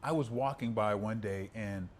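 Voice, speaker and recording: A male pastor hosting a relaxed talk show, eager and full of "wow" and "yeah". His voice is a bit low and he restarts often mid-thought. Faint ambience hums in the room. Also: a little hiss or hum. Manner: enthusiastic, constant backchannel, halting restarts. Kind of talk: casual interview chat.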